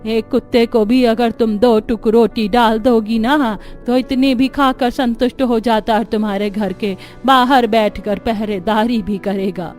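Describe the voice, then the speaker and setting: A kindly elderly village granny, acted by a woman, talks in a slow, drawn-out way at a slightly high pitch. It is cartoon story acting with music underneath. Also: steady tone, darkish tone, natural voice. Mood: content, sage. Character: kindly old village granny living in the forest.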